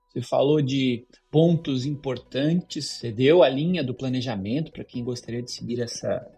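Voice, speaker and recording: A man's voice: a little high, a little mellow, husky. A man hosting an interview podcast, enthusiastically recapping the guest's answer before asking his next question. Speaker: podcast host.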